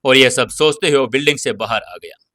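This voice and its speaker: Male narrator, dramatic scripted storytelling, bright forceful voice, dry close sound. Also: mid-range voice, a little fast, no room sound.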